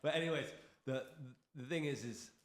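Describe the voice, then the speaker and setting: A man's voice: mid-range voice, moderate pace, noisy background. A man chatting casually on a podcast, rambling and opinionated, laughing at himself as he goes. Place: room in Taiwan. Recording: roomy echo.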